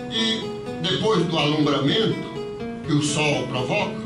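An older man from Brazil giving a philosophical lecture, seated at a table in a hall, hesitant with many restarts and fillers. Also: high voice. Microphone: far camera. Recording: roomy hall taken from far off.

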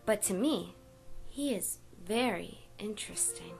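A woman's voice: mid-range voice, unhurried, vocal fry, soft background music. A woman voicing an earnest, curious, jumpy young woman who acts and narrates in a webcomic dub. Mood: earnest, fascinated. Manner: earnest, expressive. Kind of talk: dubbed webcomic acting and narration.

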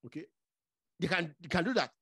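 A man's voice: fairly high pitch, animated, brisk, pushed and bright, breathy edge, no echo, studio quality. A man from Nigeria whose first language is Igbo, hosting a podcast, who interrupts, repeats words and keeps checking with "okay?". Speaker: podcast host.

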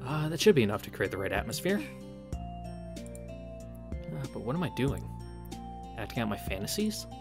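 A man's voice: mid-range voice, very animated, moderate pace, creaky, long pauses. A young man reading a visual novel aloud and adding casual, wry asides. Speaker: let's-play narrator. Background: game music.

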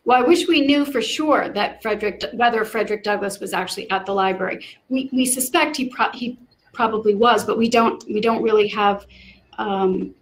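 A woman's voice: on the high side, moderate pace. A woman talking casually in an online discussion, hesitant and correcting herself as she goes.

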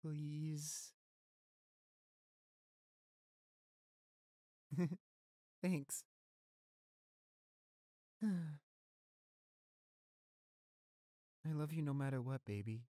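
A man playing a gentle, devoted, weakened boyfriend in an intimate roleplay audio drama, speaking softly, haltingly and reassuringly. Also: fairly high pitch, no room sound. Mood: sleepy, loving. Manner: tender, halting, reassuring, close and soft.

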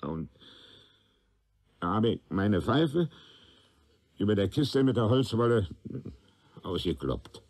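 An actor playing an evasive, flustered tenant under questioning in a radio crime drama, hesitant and colloquial. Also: male voice, lowish voice, very animated, takes it slowly, pauses often, a little mellow, breathy edge, heavily acted. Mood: confessing. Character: nervous, evasive male witness, a nosy tenant turning flustered.

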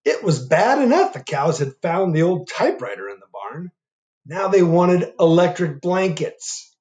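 A middle-aged man reads a picture book aloud from his living room over a webcam, pausing to quiz young listeners. His delivery is unhurried, with lively swings in pitch. The room gives his voice a noticeable echo. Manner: animated, asks the young audience questions. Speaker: teacher reading aloud. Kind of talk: children's storytime read-aloud. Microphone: webcam at desk.

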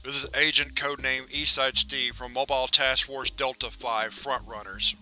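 A man's voice: medium pitch, steady tone, moderate pace, pushed and bright, soft background music. A man narrating a scripted fictional audio log in character as an agent, explaining things in a matter-of-fact way.

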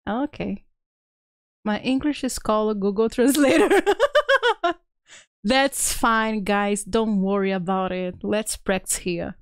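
A woman's voice: medium pitch, slow pace, pauses often, clear, resonant tone, dry recording, studio quality. A woman hosting a casual live game stream, playful and exclamatory, chatting with viewers and reading text aloud. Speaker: streamer, video game host. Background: faint video game music.

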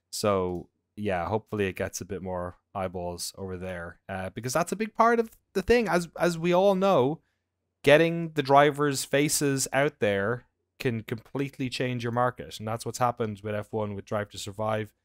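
An Irish man with a clear, smooth voice chats as a podcast co-host. His delivery is informal and animated, full of loose storytelling, and the sound is dry and close. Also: mid-range voice, moderate pace, dry recording. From Ireland. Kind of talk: casual chat, anecdotes. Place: indoor room at home on a video call.

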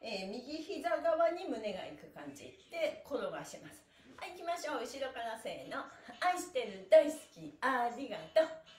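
A woman's voice: high voice, sing-song, normal speed, darkish tone. A middle-aged woman cheerfully and encouragingly leading a stretching class, talking and chanting while she exercises. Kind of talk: live exercise instruction with chanted counts. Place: small indoor room with bare wall, on a mat. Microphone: camera a few metres away. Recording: roomy echo, distant mic.

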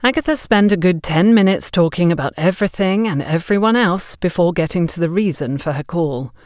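A woman narrating a scripted audio story in a measured, expressive storytelling manner. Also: fairly low pitch, no room sound, clean studio sound.

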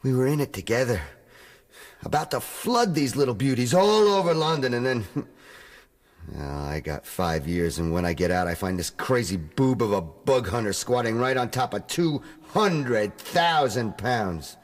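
An actor with an American accent playing a cocky, unrepentant crook who chuckles and drawls slang in a radio drama. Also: male voice, mid-range voice, sing-song, normal speed, lightly acted. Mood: brash. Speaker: John Garrideb, counterfeiter's accomplice.

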